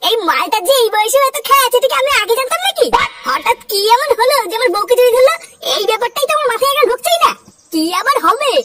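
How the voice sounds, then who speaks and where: A performer voicing an excitable, crude cartoon villager, scolding in slangy exclamations through a pitched-up effect. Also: heavy background noise. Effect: pitched-up chipmunk-like voice. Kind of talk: Bengali comedy cartoon voice acting with sped-up, pitched-up voices. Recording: loud background music.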